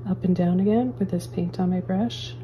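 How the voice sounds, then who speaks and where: A woman calmly talking viewers through painting step by step, as a painting teacher in her studio. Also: fairly low pitch, normal speed, dull audio, a little hiss or hum. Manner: calm, gentle step-by-step instructions. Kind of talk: real-time painting tutorial. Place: indoor studio at an easel.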